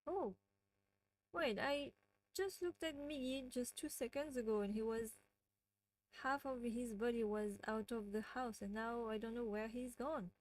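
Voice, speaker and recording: French-accented woman chatting slowly. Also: a little high, fairly even intonation, low-quality recording. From France. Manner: chatty, giggly, answers viewer chat. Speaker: livestream host. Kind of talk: casual livestream chat.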